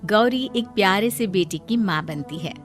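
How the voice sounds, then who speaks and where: A woman's voice: mid-range voice, animated, normal speed, faint music behind. A woman narrating a scripted moral story as a storyteller.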